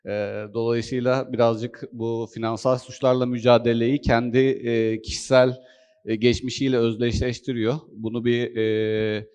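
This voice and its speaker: A man's voice: mid-range voice, little pitch movement, normal speed, darkish tone, clean tone. A man summarizing a colleague's talk at a conference, hesitant with many fillers. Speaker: presenter, consultant. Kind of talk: conference presentation. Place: conference hall. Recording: long hall reverb.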